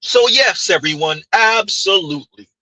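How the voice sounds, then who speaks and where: Husky high-voiced man with a Haitian accent. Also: animated, moderate pace, pushed and bright, no room sound, rough recording. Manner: emphatic, rhetorical "huh?" asides. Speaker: commentary streamer. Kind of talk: reaction stream commentary.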